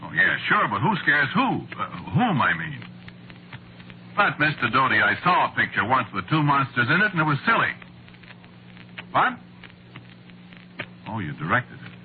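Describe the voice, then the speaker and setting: An American actor playing a wry, put-upon screenwriter in a 1940s radio drama, talking in a halting, conversational, sardonic way. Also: male voice, mid-range voice, huge pitch swings. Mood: exasperated, flustered. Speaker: narrator and lead actor. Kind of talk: 1940s radio acting, conversational first-person narration. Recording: muffled, noisy old radio recording.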